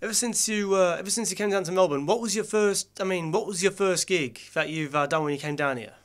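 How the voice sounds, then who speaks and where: High-voiced casual radio host man. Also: quick pace, clean tone. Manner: casual, hesitant, lots of fillers and rephrasing. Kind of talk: radio interview.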